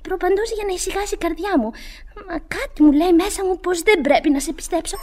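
High young female voice, jealous sweetheart, sharp-tongued, vintage radio theatre. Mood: wary, wistful. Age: young adult. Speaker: actress. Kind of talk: 1960s radio theatre acting.

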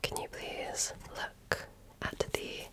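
A woman whispering breathily in a gentle, deliberate, patient way, as an examiner in an ASMR roleplay. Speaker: ASMR performer playing a medical examiner. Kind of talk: ASMR roleplay, soft-spoken personal attention.

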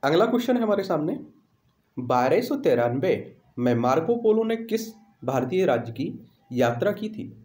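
A man's voice: a little high, big pitch swings, normal speed, darkish tone, roomy sound. An Indian man teaching an online exam lesson in question-and-answer style, repeating questions and answers in an instructional way.

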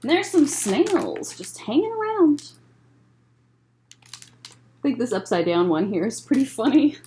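A woman in her thirties reading a picture book aloud as a children's librarian, in measured phrases with chatty asides. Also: high-pitched, very animated, dark tone, a little hiss or hum. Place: library room, indoors.

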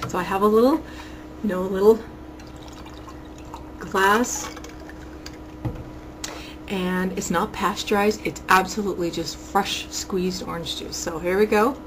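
A woman's voice: medium pitch, steady tone, measured pace, long pauses, noisy background. A woman, around her forties, gushing enthusiastically as she reviews a local outing for her webcam vlog, recorded indoors at home on a built-in mic. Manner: gushing and enthusiastic, exclaims over things. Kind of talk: casual chatty review to webcam. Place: small room at home, indoors. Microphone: built-in webcam mic at close range.

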